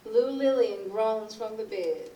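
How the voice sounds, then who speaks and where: A woman's voice: fairly high pitch, takes it slowly, warm tone, muffled recording. A woman reading stage directions as scripted narration for a readers theatre play. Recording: roomy, distant, noisy recording.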